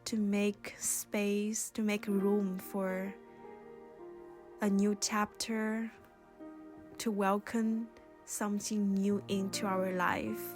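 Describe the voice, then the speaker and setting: Slow, even-toned woman guiding yoga over music. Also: mid-range voice. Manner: soothing, gentle, unhurried guiding with pauses. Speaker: yoga instructor. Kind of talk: guided yoga and meditation instruction.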